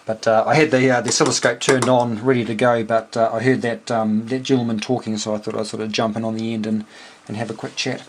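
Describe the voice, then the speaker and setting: A man with a New Zealand accent talking casually and unscripted in a halting, rambling way, as a hobbyist thinking aloud. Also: medium pitch, little pitch movement, slight background noise. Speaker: amateur radio operator and homebrew electronics hobbyist. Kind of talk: casual unscripted technical walkthrough.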